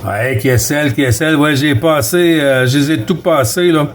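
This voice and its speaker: A man from Quebec with a Quebec French accent talks casually over CB radio. His voice sits a little high, and he hesitates and repeats radio codes, over faint background noise. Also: normal speed. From Quebec, Canada. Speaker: CB radio operator. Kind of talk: casual radio contact chat.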